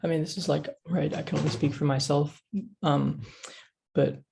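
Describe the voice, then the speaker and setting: A man speaking casually on a panel over a video call, with a husky, creaky voice and a hesitant, thoughtful manner. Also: on the high side, flat delivery, normal speed, dark tone, slight background noise. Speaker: poet on a reading panel.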